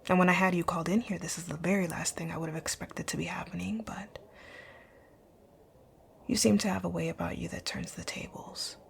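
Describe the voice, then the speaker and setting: A woman acts out a rich, bossy female company owner for an ASMR roleplay. Her voice is low and gravelly with fry, often dropping to a breathy near-whisper. She is composed, curt and quietly flirtatious, over a faint noise floor. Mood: cool, guarded. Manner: composed, commanding, deliberate. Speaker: audio roleplay voice actor. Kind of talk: ASMR roleplay, scripted one-sided dialogue.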